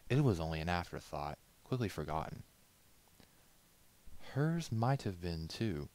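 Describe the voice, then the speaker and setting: A man reading a story aloud as scripted narration, in a measured, literary storytelling manner. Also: deep voice. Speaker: amateur audiobook reader.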